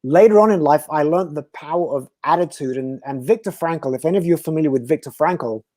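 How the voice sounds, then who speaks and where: Earnest philosophical man, fairly high voice. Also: a little mellow, dry recording, clean studio sound. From Belgium. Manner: earnest, philosophical, speaks in slow emphatic phrases. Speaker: guest speaker. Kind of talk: reflective conversational talk.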